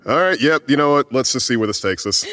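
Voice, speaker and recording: A man's voice: medium pitch, animated, fast talker, sharp tone. A man playing in a tabletop roleplay podcast, talking casually and speaking for his character.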